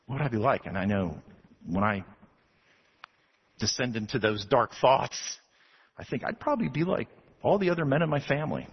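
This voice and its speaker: A man from Texas delivers a sermon to his church with lively swings of pitch that rise to emphatic preaching. His voice is a bit husky and sits a little high. The recording sounds dull and lo-fi. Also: moderate pace, pauses often. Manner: animated, rising to emphatic preaching. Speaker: pastor. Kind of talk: expository sermon.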